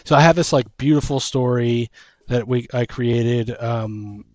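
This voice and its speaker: A man's voice: mid-range voice, unhurried, darkish tone, dry recording. A middle-aged man chatting casually as a podcast host, rambling emphatically and often breaking off mid-thought. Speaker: podcast host and tabletop game master. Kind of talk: casual conversational chat.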